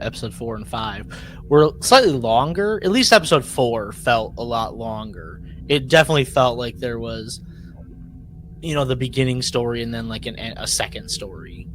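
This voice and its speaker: A man co-hosting a podcast in casual chat, rambling and halting, often repeating words as he works out a point. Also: medium pitch, very animated, a bit bright, slight background noise. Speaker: podcast co-host.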